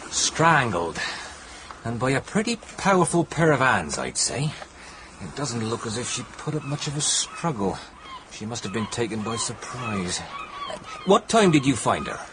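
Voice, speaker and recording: An actor playing a methodical, matter-of-fact police inspector in a radio drama. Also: male voice, mid-range voice, big pitch swings, a little slow, noisy background. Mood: businesslike.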